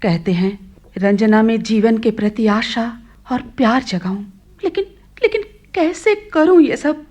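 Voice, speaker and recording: An actress playing an anguished middle-aged widowed mother in a Hindi radio play, halting and polite in her sorrow. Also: a little high, expressive, darkish tone. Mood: distressed, hesitant.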